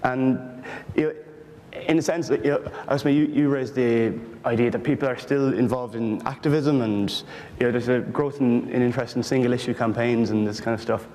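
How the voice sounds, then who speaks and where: A man from Ireland with an Irish accent, speaking earnestly but haltingly on a panel about political research. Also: mid-range voice, fairly even intonation, dark tone, big-room sound. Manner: earnest, halting, many restarts. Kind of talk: panel discussion.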